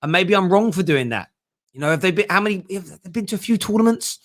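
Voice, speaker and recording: A man's voice: sits high, expressive, fast, fairly bright tone. A man hosting a football fan livestream, chatting bluntly and emphatically about viewer comments and often repeating himself. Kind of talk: casual live fan talk, reading out viewer comments.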